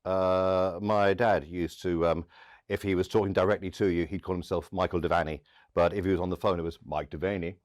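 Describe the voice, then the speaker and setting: Deep-voiced man telling stories, very dry sound. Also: fairly even intonation, no echo. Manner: dramatic pauses and acted-out dialogue. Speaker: storyteller and podcast host. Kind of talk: theatrical first-person storytelling monologue.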